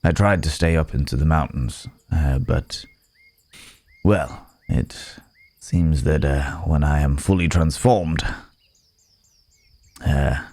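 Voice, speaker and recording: Gentle werewolf, played by a man, ASMR roleplay: very deep, slow, polite, hesitant, apologetic. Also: no echo, exaggerated voice. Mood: sheepish, apologetic. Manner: hesitant, halting, polite, soft chuckles, old-fashioned wording. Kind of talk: intimate ASMR audio roleplay monologue.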